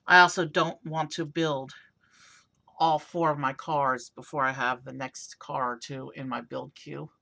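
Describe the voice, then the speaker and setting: A hoarse man with a raspy voice casually narrating a toy car build on a stream, rambling with many fillers. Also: mid-range voice, steady tone, unhurried, pushed and bright. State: hoarse from overuse. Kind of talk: casual live-stream commentary.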